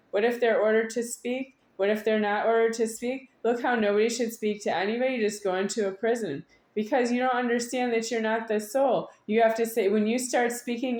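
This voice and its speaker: A woman giving a rambling, unscripted monologue to an audience, halting at times with drawn-out words and insistent repetition. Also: on the high side, darkish tone. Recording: echoey room.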